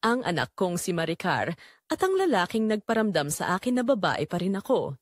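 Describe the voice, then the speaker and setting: Woman acting a hurt middle-aged mom telling her sad story, audio drama, emotive, pitch swings a lot, dry audio. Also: very animated, fairly quick, no echo. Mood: hurt, reflective. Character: wounded middle-aged mother confessing her heartbreak. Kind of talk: audio drama first-person narration.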